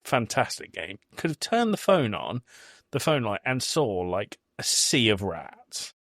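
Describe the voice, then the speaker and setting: A man's voice: mid-range voice, big pitch swings, measured pace, fairly bright tone, dry recording, clean studio sound. A man hosting a podcast in casual, emphatic, opinionated conversation. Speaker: podcast host. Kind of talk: casual chat reviewing a film.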